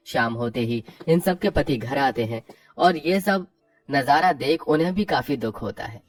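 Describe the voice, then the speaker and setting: A woman's voice: extremely low pitch, a little mellow, distant mic. A woman narrating a scripted moral story.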